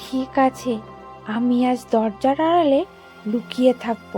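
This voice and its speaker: A woman playing a determined, anguished young mother in an animated story, speaking in an earnest, melodramatic way. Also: on the high side, a little slow, faint music behind, lightly acted. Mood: suspicious, determined.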